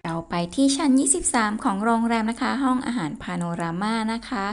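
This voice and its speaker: A woman's voice: fairly high pitch, animated, brisk, soft background music. A woman in her thirties, chatty and polite, presenting an upbeat restaurant review as a vlogger.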